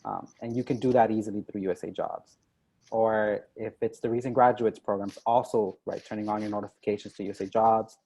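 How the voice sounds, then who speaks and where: A man's voice: fairly low pitch, steady tone, a little fast, dark tone, poor audio. A young man speaking as a diplomat at an online info session, talking conversationally with many ums and "right?" tags. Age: young adult.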